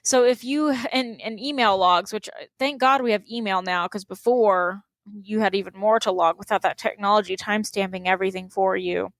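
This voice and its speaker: A woman's voice: mid-range voice, steady tone, brisk, few pauses, no room sound. Female co-host and teacher, matter-of-fact, dry close mic. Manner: practical, advice-giving. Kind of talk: casual interview chat.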